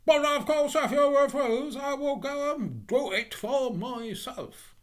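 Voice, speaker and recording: A man's voice: extremely high pitch, lively intonation, takes it slowly, voice pushed up, heavily acted. A man voicing a pompous, self-important miller in a storybook tale, preachy and emphatic as he poses as a generous friend. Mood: affronted, emphatic. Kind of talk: theatrical storybook character voice.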